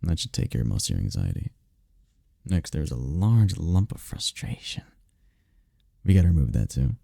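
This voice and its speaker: A man playing a tender, powerful incubus boyfriend in an intimate roleplay ASMR, speaking softly and soothingly with unhurried, caring murmurs. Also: huge pitch swings, no room sound, clean studio sound, exaggerated voice. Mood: gentle, reassuring. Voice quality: deep, dark, gravelly, husky. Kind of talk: intimate roleplay ASMR, scripted comfort monologue. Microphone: close mic, dry.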